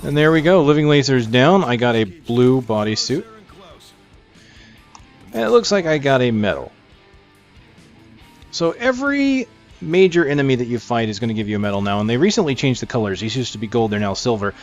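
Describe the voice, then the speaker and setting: A man's voice: medium pitch, sing-song, brisk, long pauses. A man giving casual gameplay commentary, explaining things conversationally and thinking aloud as he plays. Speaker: gamer presenting a game preview. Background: music behind the voice.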